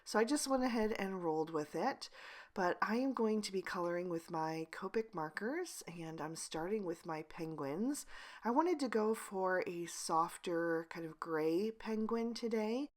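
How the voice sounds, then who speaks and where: A woman with a hoarse, breathy voice that is close to a whisper, pushing to speak up as she narrates a craft tutorial as the channel's host. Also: medium pitch, a little slow. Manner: pushes to speak as loudly as she can, steady instructional tone. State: hoarse, losing her voice. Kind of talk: voice-over narrating a card-coloring tutorial.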